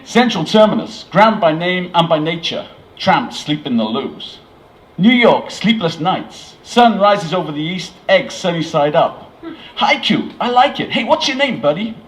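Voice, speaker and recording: Man putting on an excitable, high-pitched big American guy. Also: a little slow, rough recording. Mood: delighted. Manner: gushing, loud, easily awed. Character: large, excitable, friendly American man in New York.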